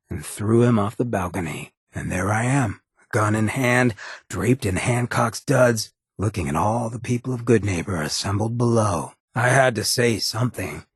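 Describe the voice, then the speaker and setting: An actor playing a roguish ghoul mayor in a video game, a swaggering former drifter with a very raspy, gravelly voice and a cocky, streetwise drawl. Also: male voice, lowish voice, dry recording, studio quality. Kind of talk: video game companion dialogue.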